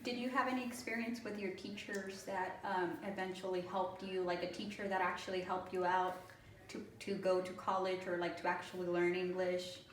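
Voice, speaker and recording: A woman interviewer asks questions with halting phrasing and frequent fillers. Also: fairly low pitch, flat delivery. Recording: echoey room, far from the mic, faint noise.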